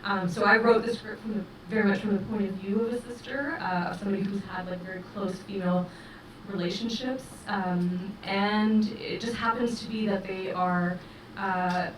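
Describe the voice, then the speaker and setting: A middle-aged woman, a film director speaking English as her first language, giving measured, thoughtful answers with many fillers in a festival interview. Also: medium pitch. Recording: roomy, distant mic with noise.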